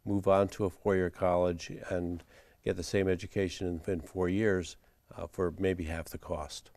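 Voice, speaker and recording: A middle-aged man with a very low voice speaks in a measured, formal register, pausing on fillers as he builds long answers. The sound is dry and close, with no room around it. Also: little pitch movement, darkish tone, no room sound. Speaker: college administrator guest. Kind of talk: studio interview answers.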